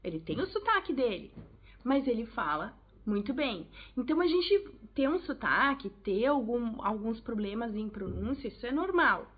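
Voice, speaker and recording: A woman's voice: fairly high pitch, very animated, moderate pace, husky. A woman from Brazil with a Brazilian Portuguese accent, giving chatty, direct advice to her viewers in a casual vlog. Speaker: vlogger, English teacher. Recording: tinny phone-like sound.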